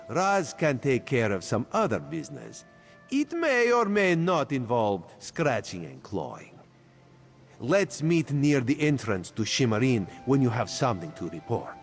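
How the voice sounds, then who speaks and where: An actor playing a sly, playful cat-man spy in a video game who refers to himself in the third person, over faint game music. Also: medium pitch. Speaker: spymaster quest giver. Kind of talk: video game character dialogue.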